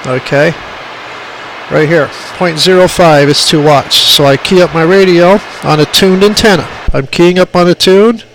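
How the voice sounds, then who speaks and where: Higher-voiced man, noisy hands-on tutorial. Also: moderate pace. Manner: plainspoken, emphatic, repeats points. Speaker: radio hobbyist demonstrating equipment. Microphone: phone recording. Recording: clearly noisy amateur phone recording.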